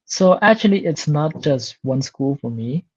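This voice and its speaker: A man in his twenties from Cambodia, a Khmer speaker, answering interview questions in a steady, articulate way. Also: on the high side, unhurried, husky, no room sound, dull audio. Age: 20s. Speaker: guest, student.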